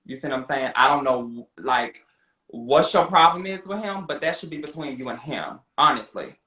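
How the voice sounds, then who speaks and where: A man's voice: mid-range voice, expressive, moderate pace, pushed and bright. An American man chatting confrontationally, sassy, slangy and defensive, recorded with a roomy echo. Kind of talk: casual confrontational chat.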